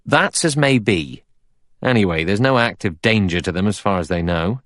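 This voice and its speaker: A man's voice: fairly low pitch, expressive, normal speed, fairly bright tone, no room sound, studio quality. A man voicing a blunt, aggressive, suspicious detective in an audiobook, arguing in pushy, colloquial bursts. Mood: insistent. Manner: pushy, colloquial, emphatic argument. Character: blunt, aggressive middle-aged male detective, suspicious and cocksure.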